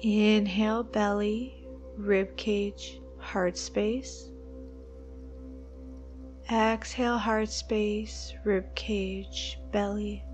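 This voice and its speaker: A woman leading a guided meditation, giving soothing, unhurried instructions with long pauses between phrases. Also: mid-range voice, little pitch movement, darkish tone. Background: background music.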